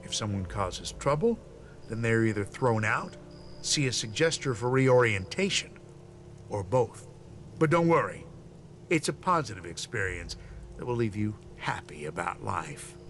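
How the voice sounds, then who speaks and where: An actor playing an officious, dutiful city gate guard in a video game, formal and earnest as if reciting rules. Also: male voice, lowish voice, lively intonation, unhurried, a bit bright, soft background music. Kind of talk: video game character dialogue.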